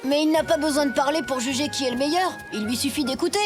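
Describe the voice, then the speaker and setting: A woman voicing an eager, confident young wolf cub who leads the pack briskly in a children's cartoon. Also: high voice, music in the background, lightly acted. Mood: eager, insistent. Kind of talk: animated children's cartoon dialogue.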